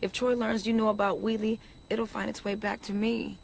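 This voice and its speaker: A woman's voice: medium pitch, level tone, moderate pace, creaky, a little hiss or hum, close to an everyday voice. Young streetwise woman singer played by an actress. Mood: anxious, pleading. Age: young adult. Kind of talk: video game cutscene acting.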